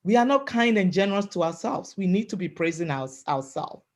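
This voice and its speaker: A woman from Africa gives a webinar talk over a video call, her voice pitched in an ordinary middle range. She speaks loudly and emphatically, coaching her listeners and checking in with them again and again. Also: lively intonation, a little fast. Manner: loud voice, emphatic, repeats "right?" and "you know". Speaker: webinar panelist. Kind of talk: motivational talk.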